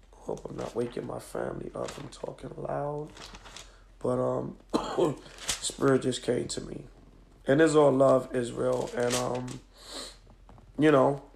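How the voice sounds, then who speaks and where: A man with a hoarse voice from a cold, teaching scripture in a livestream from home at night, emphatic and preacherly with frequent "all right?" checks. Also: medium pitch, slight background noise, poor audio. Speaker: teacher of a religious group. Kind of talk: live-streamed scripture lesson. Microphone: phone on a stand.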